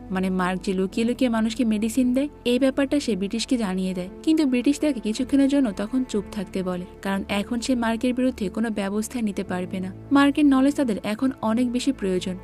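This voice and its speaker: A woman's voice: on the high side, warm tone. A woman narrating a scripted movie recap in a plain, explanatory storytelling manner.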